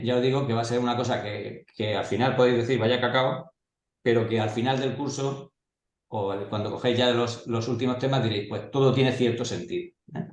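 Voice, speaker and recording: A man lecturing a class of local-government staff, asking and answering his own questions in a conversational way. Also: medium pitch. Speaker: course instructor. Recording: echoey classroom.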